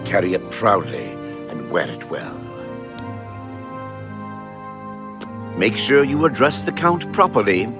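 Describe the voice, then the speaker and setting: An actor playing a brash, vain young knight in a fantasy game, declaiming in a grandiose, theatrical manner. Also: male voice, on the low side, huge pitch swings, moderate pace. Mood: grandly solemn. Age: young adult. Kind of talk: fantasy video game acting. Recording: music behind the voice.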